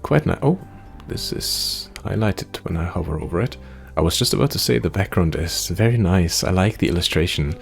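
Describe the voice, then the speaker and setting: Low, gravelly, expressive man reading game text. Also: normal speed, soft background music. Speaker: gamer playing through a demo. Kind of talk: reading game text aloud with casual commentary.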